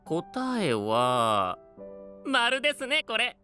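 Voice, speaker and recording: Polite, lilting young knight. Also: male voice, high-pitched, wildly swooping pitch, very slow, faint music behind, exaggerated voice. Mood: amused. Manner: soft polite formal speech. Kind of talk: anime-style voice drama acting.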